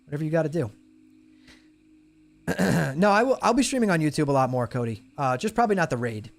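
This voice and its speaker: A man's voice: fairly high pitch, expressive, a little fast, vocal fry. A man in young adulthood, chatting casually with his live stream viewers as he loses his voice. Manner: laid-back, chatty, talks to chat. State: losing his voice.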